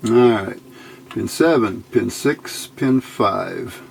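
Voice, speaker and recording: Male hobbyist for hands-on tutorial: gravelly, very slow delivery, short muttered fragments, homemade workbench recording. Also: on the low side, animated, a little hiss or hum. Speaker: electronics hobbyist demonstrating a build. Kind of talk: casual hands-on tutorial, talking while working. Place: indoor workbench.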